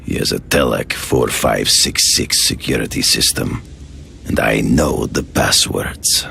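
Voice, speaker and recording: An actor playing a stern, proud Russian hunter who speaks formally, in a game cutscene. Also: male voice, unhurried, pushed and bright, slight background noise, heavily acted. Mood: grave. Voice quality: deep gravelly, formal.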